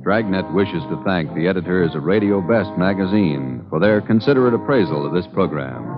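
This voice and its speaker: Low male radio announcer over loud brass music. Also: animated, brisk. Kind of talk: formal 1950s radio sign-off narration. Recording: muffled 1950s radio broadcast.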